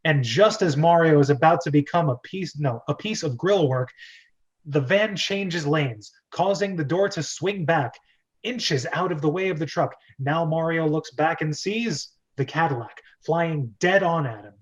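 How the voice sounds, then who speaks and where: A man with a fairly high voice narrates a screenplay in a casual table read. He hosts loosely, slipping between stage directions and chatty asides. The sound is somewhat dull and lo-fi. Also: unhurried. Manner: often laughs and breaks off into asides. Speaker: host and narrator of a script read-through.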